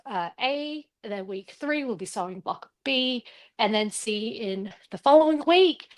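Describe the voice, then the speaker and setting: A woman guest explaining a quilt project in a friendly, rambling way with many fillers. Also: fairly high pitch. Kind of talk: casual demonstration.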